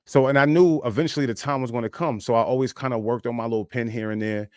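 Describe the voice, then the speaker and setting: A man in his thirties talks straight into his streaming mic, loose and rambling as he shares his thoughts with viewers. His speaking pitch sits in a plain middle range. The sound is dry and close, with no room around it. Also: fairly even intonation, quick pace, clear, resonant tone, no echo. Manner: rambling, loose and candid, peppered with "you know what I'm saying". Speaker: independent rap artist streaming live. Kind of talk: casual live-stream monologue.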